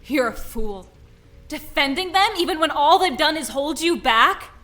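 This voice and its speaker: A woman playing a defiant, desperate giant with fire powers in a forceful, dramatic audio drama style. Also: sits high, expressive, measured pace, faint music behind, full character voice. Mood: scornful.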